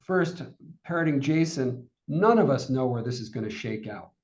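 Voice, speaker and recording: A man's voice: mid-range voice, lively intonation, moderate pace, darkish tone. A man speaking conversationally as a financial panelist, addressing clients on a conference call. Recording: slightly dull conference call audio.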